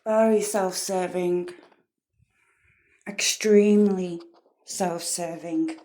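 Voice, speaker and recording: Female true crime commentator. Slightly low pitch, slow delivery, blunt and scornful. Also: fairly even intonation, warm tone. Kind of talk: casual reaction commentary.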